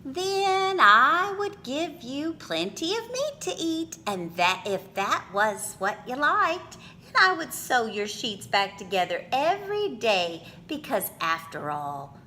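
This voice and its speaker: A woman reading a picture book aloud for children in an expressive, theatrical manner with drawn-out phrasing. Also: a little high, slight background noise.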